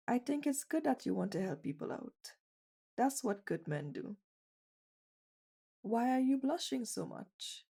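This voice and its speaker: Brief: female performer as teasing young bunny girl for intimate ASMR roleplay; unhurried, warm, relaxed tone, blunt and playful. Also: on the high side, exaggerated voice. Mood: curious, gentle, then teasing, tender. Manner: playful, blunt, questioning, intimate one-sided conversation. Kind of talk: ASMR roleplay audio drama.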